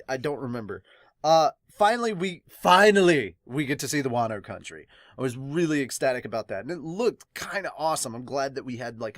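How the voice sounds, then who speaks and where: A man's voice: mid-range voice, dry recording. Casual man reviewing, dry close sound. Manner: excitable, rambling, laughs often. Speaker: host of a manga review channel. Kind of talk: casual reaction and review talk.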